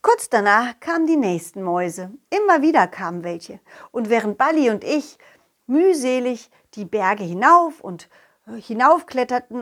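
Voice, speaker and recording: A woman voicing a cheerful, confident, loyal talking dog who tells his adventures playfully and expressively in a children's audio play. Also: fairly high pitch. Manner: playful, expressive, addressing a companion.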